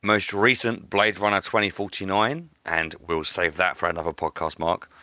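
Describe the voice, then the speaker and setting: A man's voice: low-pitched, moderate pace, bright, forceful tone, no echo. A man hosting a podcast in a casual film discussion, conversational and repeating phrases for emphasis. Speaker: podcast host.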